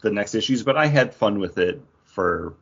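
A man co-hosts a podcast in a relaxed conversational style. His voice sits a little low and has a husky grain. The audio is somewhat dull and lo-fi. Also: normal speed. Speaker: podcast co-host. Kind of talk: casual chat about comics. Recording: dull, lo-fi sound.

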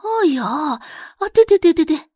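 A woman's voice: very high-pitched, sing-song, unhurried, dark tone, dry recording, studio quality, voice pushed up, heavily acted. A woman voicing a gushing, fawning rich society matron in an audio drama, full of exclamations. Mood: flustered, fawning. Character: gushing, socially slick rich society matron Mrs. Fang.

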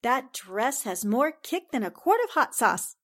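An actress plays a young newspaperwoman in an old-time radio drama. Her voice is high and melodic, full of swings, and she banters in a poised, breezy, good-humored way. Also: sing-song, measured pace, a bit bright, full character voice. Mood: teasing. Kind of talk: 1940s radio drama acting.

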